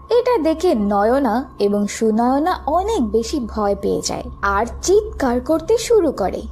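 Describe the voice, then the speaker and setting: A woman's voice: high voice, dark tone, a little hiss or hum. A woman narrating a scripted fairy tale for children.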